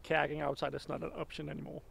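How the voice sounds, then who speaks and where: Young man vlogging, gravelly voice. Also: on the high side, rapid-fire, noisy background. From Denmark. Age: young adult. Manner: relaxed, chatty, filler-heavy. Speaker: kayak athlete vlogger. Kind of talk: casual vlog narration. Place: apartment living room indoors, then car and outdoors. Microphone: handheld camera at arm's length.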